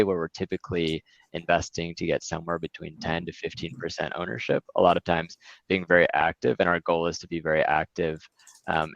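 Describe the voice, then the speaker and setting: Deep-voiced guy presenting on a video call, dry dull sound, lots of ums. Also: creaky, no echo. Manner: relaxed, conversational with frequent fillers. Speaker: venture investor presenting. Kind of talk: webinar presentation.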